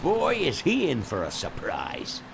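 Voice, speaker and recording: A man playing a feisty old company boss in a video game, with a gravelly voice and an exuberant manner. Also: a little high, huge pitch swings, measured pace, noisy background, heavily acted. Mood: scornful. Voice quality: creaky, gravelly. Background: music, vehicle.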